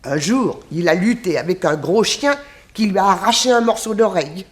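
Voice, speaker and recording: An actress in a 1960s French radio detective drama playing a kindly, talkative old former nanny, with a homely, fondly reminiscing manner. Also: female voice, mid-range voice, expressive, moderate pace, close to an everyday voice. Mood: fond, wistful. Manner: homely, reminiscing, sighing exclamations.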